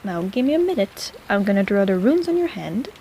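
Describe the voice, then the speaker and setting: A woman's voice: mid-range voice, very animated, a little fast, gravelly, dark tone, a little hiss or hum. A woman playing a snarky but caring apothecary in an intimate fantasy audio roleplay, chatty and teasing in a casual way. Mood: focused, teasing.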